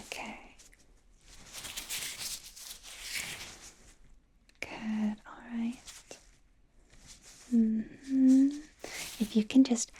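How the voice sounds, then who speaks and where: Slow, whispering woman as a timid werewolf doctor. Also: mid-range voice, a little hiss or hum, lightly acted. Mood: gentle, reassuring. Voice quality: soft, breathy whisper. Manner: hesitant, self-correcting, giggly, reassuring. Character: nervous, gentle female werewolf doctor, eager to please. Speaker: ASMR roleplay performer. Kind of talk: whispered ASMR medical exam roleplay.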